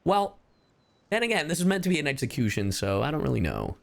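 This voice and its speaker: A man livestreaming a game, reacting casually to his chat in an excitable, slangy, foul-mouthed way. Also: fairly high pitch, fast talker, gravelly.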